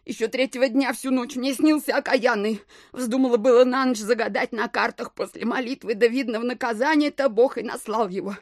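An actress playing a superstitious, stubborn old widow landowner in an audio drama, with a folksy, hesitant, fretful manner. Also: female voice, on the high side, fairly quick, dry recording, clean studio sound, natural voice. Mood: frightened, superstitious. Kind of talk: audio drama acting of classic literature.

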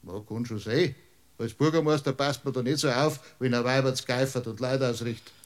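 An actor in a radio play playing an evasive, folksy middle-aged village mayor on the witness stand, with a Bavarian accent and a long-winded, stubbornly literal way of talking. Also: male voice, medium pitch, fairly even intonation, moderate pace, lightly acted. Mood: rambling storytelling.

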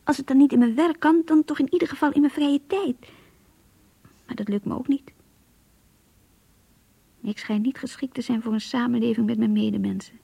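Casting: lonely, wistful young woman for radio drama monologues; very husky, hushed, mellow. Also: fairly high pitch, normal speed, pauses often, dry recording. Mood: dejected, yearning. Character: lonely, melancholy young working woman confiding to her diary. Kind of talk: Dutch radio play monologue.

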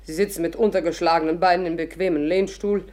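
An actress playing an imperious, curious old stage diva with a declamatory, condescending manner in a 1950s radio play. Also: female voice, fairly low pitch, steady tone, quick pace, a little mellow, close to an everyday voice. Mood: grand, declaiming. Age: older.

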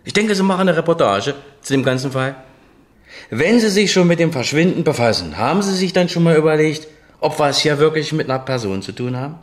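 An actor playing an opinionated economist in a radio drama, lecturing an interviewer in a dismissive, condescending manner. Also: male voice, fairly high pitch, lively intonation, brisk, close to an everyday voice.

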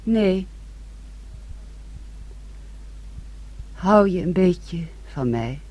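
Husky, bitter young woman. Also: on the low side, lively intonation, slow, mellow. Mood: intimate, possessive. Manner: intimate, spiteful. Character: bitter, jealous, possessive young sister. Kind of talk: radio drama acting.

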